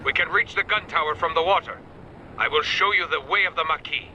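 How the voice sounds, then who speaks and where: A man's voice: fairly high pitch, a little fast, bright, forceful tone, highs cut off, a little hiss or hum. An actor playing a brash male resistance fighter in a war game who barks orders. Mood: commanding.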